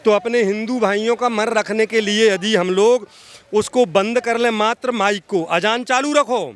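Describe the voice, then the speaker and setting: A man's voice: very high voice, a bit bright. A man giving impassioned political opinion in an oratorical, emphatic way, repeating phrases for stress. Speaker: social activist giving street interview.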